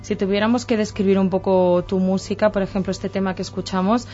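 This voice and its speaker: A woman with a Catalan accent, presenting and interviewing on the radio in a clear, measured way. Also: mid-range voice, fairly even intonation, dark tone. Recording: dull lo-fi radio sound with faint music.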